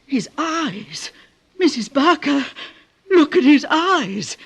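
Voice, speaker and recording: An actress playing a graying, dutiful housekeeper with a husky voice in an old-time radio drama. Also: female voice, high voice, very animated, slow, exaggerated voice. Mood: shocked.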